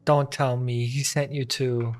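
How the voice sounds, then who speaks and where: A woman's voice: a bass voice, flat delivery, unhurried, music in the background. A woman game streamer casually reacting to gameplay in an offhand, giggly way.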